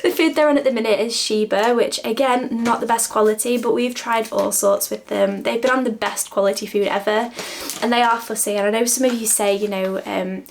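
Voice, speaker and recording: A woman's voice: steady tone, fairly quick, creaky, few pauses, slight background noise. Chatty woman with a slight cold. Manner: chatty, laughs often, coos at her cats in a high voice. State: sniffly with a cold. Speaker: vlogger. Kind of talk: casual vlog narration.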